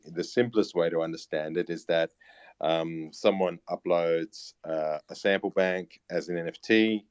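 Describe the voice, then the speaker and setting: A man's voice: sits low, a little mellow, clear, resonant tone, no echo, clean studio sound. A man answering as an interview guest, explaining things off the cuff in a hesitant way. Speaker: guest, company representative.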